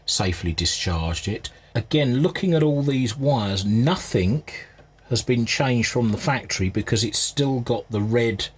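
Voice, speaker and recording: A man giving an unscripted, hands-on inspection commentary as a restorer, thinking aloud in a measured, understated way. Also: on the low side, slight background noise. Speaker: vintage radio restorer presenting a repair video.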